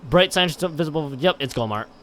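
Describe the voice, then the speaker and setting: Bright-voiced man streaming, wildly animated pitch. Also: brisk, slight background noise. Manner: stumbling over read text, repeating and restarting words. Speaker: live game streamer. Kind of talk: reading a visual novel aloud and chatting on a livestream.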